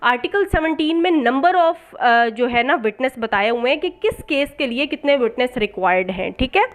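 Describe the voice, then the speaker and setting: Woman, Pakistani accent, very high voice, didactic law lecturer, explanatory, mixes in English terms, checks understanding. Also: expressive, normal speed.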